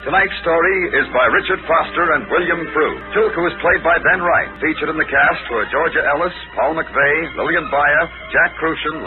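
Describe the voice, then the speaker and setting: A man announcing scripted credits as a 1940s radio announcer. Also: on the high side. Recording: tinny old radio broadcast with music.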